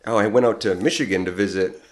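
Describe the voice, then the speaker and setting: A man with a lowish voice that sounds a little strained and hoarse. He co-hosts a casual movie podcast and tells his stories in a rambling, chatty way, full of fillers. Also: fast. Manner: rambling storytelling with many likes. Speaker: podcast co-host. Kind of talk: casual film discussion.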